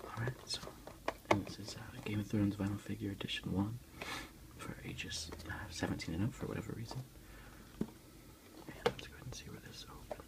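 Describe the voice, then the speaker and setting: Whispering man, low and gravelly. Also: fairly even intonation, fairly quick. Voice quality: soft, breathy whisper. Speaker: ASMR video creator. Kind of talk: ASMR unboxing, softly narrated show-and-tell.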